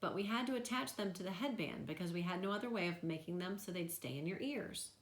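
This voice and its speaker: A woman's voice: on the low side, animated, fast, roomy sound. A woman voicing an upbeat, reassuring male ear doctor in a storybook, chummy and explanatory.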